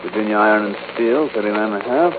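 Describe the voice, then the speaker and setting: A businesslike financier, played by a man, speaks in a low, clipped voice. The manner is matter-of-fact, in 1940s radio drama style. The recording is very muffled and noisy. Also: quick pace, natural voice. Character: businesslike male financier alone in his office.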